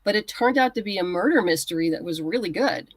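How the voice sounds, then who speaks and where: Female guest creator, conversational and explanatory, slightly halting delivery, middle pitch, dry recording. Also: expressive, no echo. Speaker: guest writer and comics creator. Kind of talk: casual interview chat.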